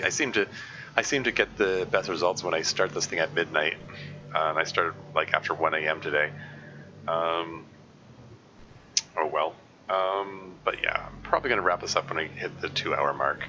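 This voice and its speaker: A man with a deep bass voice held in its upper register, chatting casually over a game stream and rambling with many restarts and chuckles. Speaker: let's play streamer. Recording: muffled lo-fi mic with steady hiss.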